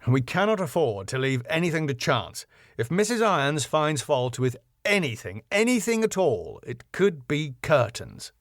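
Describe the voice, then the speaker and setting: A man's voice: fairly high pitch, big pitch swings, normal speed, dry recording, clean studio sound. A man voicing a raging, pompous middle-aged ballroom owner who rants in theatrical tirades. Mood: grim worry. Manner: furious, theatrical, shouting tirades.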